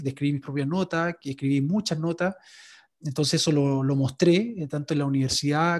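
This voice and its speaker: A sports journalist being interviewed, telling his story in a relaxed way, with many fillers and restarts. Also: fairly high pitch. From Chile. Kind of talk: interview answers.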